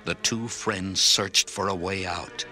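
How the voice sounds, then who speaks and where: A man's voice: low-pitched, steady tone, takes it slowly, over loud music. A man narrating a children's story in a scripted, dramatic style.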